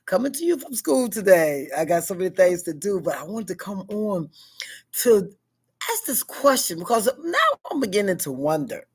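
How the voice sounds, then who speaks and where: Woman, sixties, deep heavy voice, husky, rambling chatty vlog, lots of fillers. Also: very animated, moderate pace, a little mellow. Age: 60s. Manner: chatty, rambling, frequent fillers and self-questions. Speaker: vlogger. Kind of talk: casual personal vlog. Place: at school.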